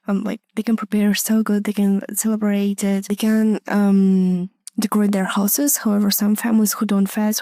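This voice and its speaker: A young woman from Kazakhstan explains things casually as a student guest. Her voice is mellow and even in pitch. The recording is close and dry. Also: no room sound. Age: young adult.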